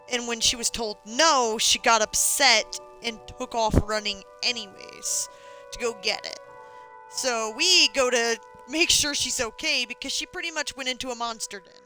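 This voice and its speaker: A woman streamer explains a game's story in a relaxed, chatty way. Her voice is bright and a bit high. Faint music runs under her talk. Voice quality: casual, explaining. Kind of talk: casual recap of a game story.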